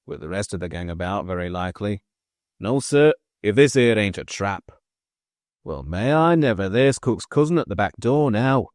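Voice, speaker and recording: A man voicing a wary, stubborn country manservant who speaks in rustic dialect with low, firm tones. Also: animated, moderate pace, no echo, studio quality, natural voice.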